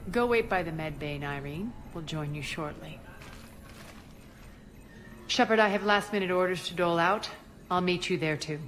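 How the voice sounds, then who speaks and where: An actress portrays a ruthless female alien crime boss in a game cutscene. She speaks low and curtly, with cool authority. Ambient noise is clearly audible underneath. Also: normal speed, pauses often, lightly acted. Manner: curt, imperious, cool. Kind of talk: video game cutscene acting.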